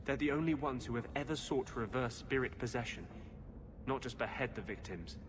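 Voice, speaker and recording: A man's voice: mid-range voice, a little fast, background noise, natural voice. A man voicing an earnest, driven mage in a video game, speaking intently and with a guarded air. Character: earnest, driven male mage hiding something.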